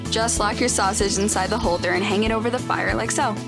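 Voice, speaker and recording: A woman playing a brisk, matter-of-fact, slightly snippy teenage girl in an audio drama. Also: medium pitch, fairly even intonation, creaky, loud music behind the voice, lightly acted.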